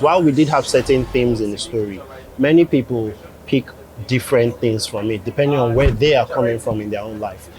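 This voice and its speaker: A Nigerian man with a mellow voice of medium pitch answers as a producer in an interview. He explains things in a measured way, rewording as he goes. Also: low-quality recording. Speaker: animation producer.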